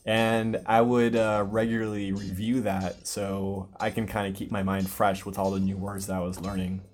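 A man from the United States hosting a casual language-learning podcast, explaining things patiently with clear examples. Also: lowish voice, flat delivery, moderate pace, a little mellow, a little hiss or hum. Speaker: podcast host. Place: lives in Brazil.

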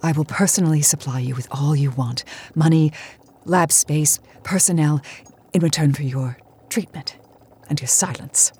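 An actress playing an imperious, driven, aging cosmetics tycoon in a campy audio drama, with a commanding, clipped manner. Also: female voice, low voice, moderate pace, dark tone, breathy edge.

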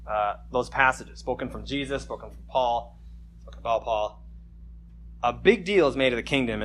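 Male pastor, mid-pitched, chatty sermon delivery with punchy scripture reads, faint hum behind. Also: a bit bright. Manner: casual and joking, with emphatic scripture reading. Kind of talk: conversational sermon. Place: church service with slides.

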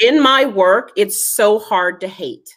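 A woman guest talking through her work in a conversational interview. She speaks earnestly and with emphasis, circling back to repeat the lines that matter most. Her pitch sits in a middle range. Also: takes it slowly, a bit bright. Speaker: genealogy foundation guest.

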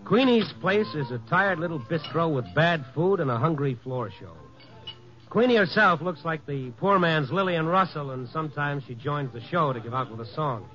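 A hard-boiled newspaperman with a fairly high-set male voice. He is wry and world-weary, tossing off wisecracks in old radio noir style. Music sits behind him on a muffled vintage recording. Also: expressive, normal speed, sharp tone. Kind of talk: 1950s radio noir narration and acting.

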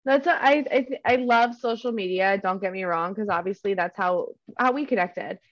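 A woman's voice: medium pitch, normal speed, no room sound, highs cut off. A young woman chatting earnestly and eagerly, often saying "um" and "like". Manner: earnest and enthusiastic, with many "um"s and "like"s. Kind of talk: casual podcast chat.

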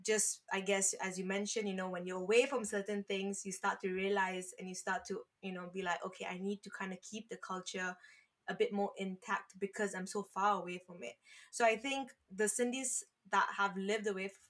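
A woman's voice: mid-range voice, fairly even intonation, normal speed, a bit bright. A woman from Malaysia, a podcast guest, talking earnestly and rambling with "you know" and restarts. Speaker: podcast guest, founder of an online community page. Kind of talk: casual conversational interview.

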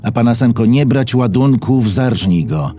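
A man's voice: mid-range voice, moderate pace, warm tone. A man giving a dramatic reading of a historical diary in terse, grave phrases. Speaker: reader of historical texts. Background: faint music.

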